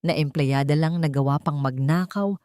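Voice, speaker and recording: A woman's voice: low-pitched, normal speed, darkish tone, clear, resonant tone, dry recording. A woman narrating a scripted dramatic story in a solemn, suspenseful manner.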